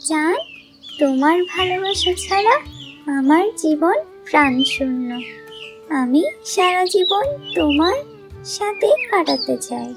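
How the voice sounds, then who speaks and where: A very high-pitched, childlike little wife bird from a sentimental cartoon, voiced by a woman. She is loving yet possessive and tight-fisted, and music plays clearly behind her. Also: expressive, slow, pauses often, heavily acted. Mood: adoring. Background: music and birdsong.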